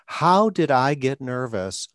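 A man's voice: mid-range voice, very animated, slow pace, no room sound, clean studio sound. Man coaching in short phrases. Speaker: stuttering coach and podcast host. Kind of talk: conversational coaching talk.

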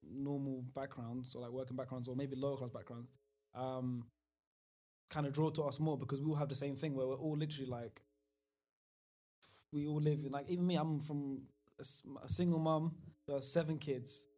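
A man's voice: medium pitch, fairly even intonation, moderate pace, darkish tone. A man, chatting as a podcast guest in a loose, hesitant way full of fillers and restarts. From London, of Somali family. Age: 20s. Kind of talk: casual chat.

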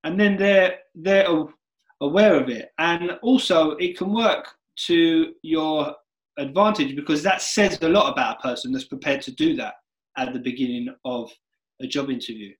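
A man hosting an interview podcast, talking earnestly and with emphasis, speeding up and slowing down within phrases. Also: a little high, rough recording. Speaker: podcast host. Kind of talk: interview chat.

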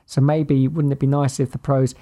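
A man's voice: mid-range voice, level tone, normal speed, mellow. A middle-aged British man co-hosting a casual sports podcast, thinking aloud in a hesitant, thoughtful way and repeating words as he reasons. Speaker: podcast co-host.

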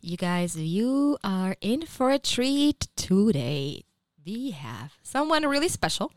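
A woman's voice: mid-range voice, very animated, slow pace, no room sound, studio quality. A woman co-hosting a podcast, upbeat and playful as she introduces a guest. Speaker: co-host. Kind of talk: casual chat. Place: studio.

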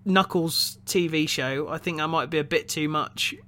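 A man co-hosting a casual film podcast, thinking aloud in a halting way and repeating words as he goes. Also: on the high side, pushed and bright, slight background noise. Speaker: podcast co-host. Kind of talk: casual film review discussion.

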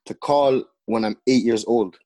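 A young man from the Netherlands, a music artist and podcast guest, telling stories in relaxed, slangy casual chat with lots of fillers. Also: mid-range voice, measured pace, no echo.

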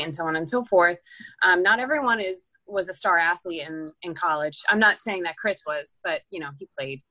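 A woman hosting a casual podcast conversation, relaxed and teasing. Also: lowish voice, rough recording. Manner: relaxed, many "um" fillers, easy teasing. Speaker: podcast host.

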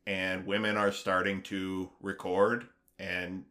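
Podcast co-host, male, slightly low and bright, halting delivery that warms up when excited. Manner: halting, many fillers and restarts, grows eager. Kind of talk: casual podcast chat.

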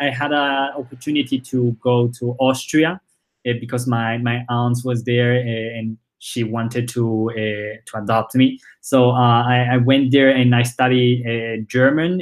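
Halting Chinese-born man with Spanish accent. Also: medium pitch, little pitch movement. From China. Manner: halting, with frequent repeated words and "uh" fillers. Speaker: startup founder and CEO, guest. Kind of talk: casual interview conversation.

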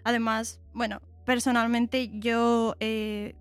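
A woman co-hosting a podcast, chatting in a relaxed way about her own past. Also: on the high side, slow pace, no echo, faint music behind. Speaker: podcast co-host. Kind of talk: casual interview chat.

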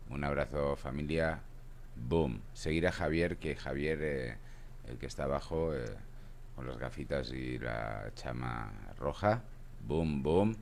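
Very deep-voiced exuberant man. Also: vocal fry, slight background noise. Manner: exuberant, bursts of "boom". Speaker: sent-in voice note. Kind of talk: casual voice message.

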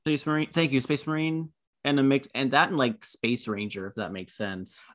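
A man's voice: mid-range voice, moderate pace, fairly bright tone. A young man co-hosting a casual podcast, joking and teasing the others. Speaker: podcast co-host. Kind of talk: casual chat.

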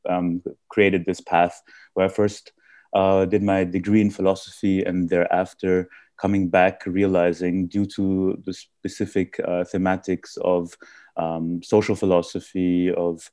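A man from India, raised in Austria, answering podcast interview questions in a halting way with many fillers and restarts. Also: deep voice, flat delivery, warm tone, dry recording. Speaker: guest.

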